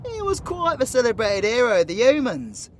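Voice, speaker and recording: Man acting a cocky British supervillain, animated pitch. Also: normal speed, slight background noise. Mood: mocking taunts. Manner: sweary, mocking, slangy. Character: cocky foul-mouthed male supervillain, taunting rebel. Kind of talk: audio roleplay acting.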